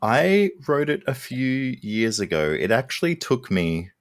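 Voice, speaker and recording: A man from Australia with an Australian accent, a children's author answering interview questions in a relaxed way with a few fillers. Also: mid-range voice, a little slow, no echo. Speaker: children's book author, guest.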